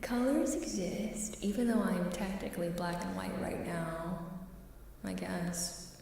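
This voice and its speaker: A woman live streamer chatting casually in ASMR style, soft-spoken and often slipping into a whisper, musing and repeating her phrases. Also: mid-range voice, slow, vocal fry, recorded from a distance. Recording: heavily echoing reverberant room sound, faint noise.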